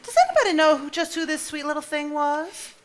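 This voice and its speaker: A woman's voice: sits high, a little fast, slight background noise, natural voice. A woman playing a sweet, languid Southern wife in a stage drama, genteel and gushing. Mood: tender, curious. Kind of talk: live stage drama acting.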